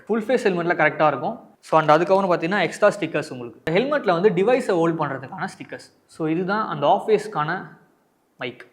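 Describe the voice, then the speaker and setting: Indian tamil guy doing a product review, talks quick, voice on the high side, explains stuff straight to viewers. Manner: explanatory, addresses viewers directly, mixes in English words.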